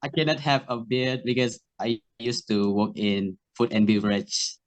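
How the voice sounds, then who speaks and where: A man's voice: medium pitch, normal speed. A man, chatting casually as an interview guest. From Malaysia. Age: 30s. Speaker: band member, interview guest. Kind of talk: casual chat.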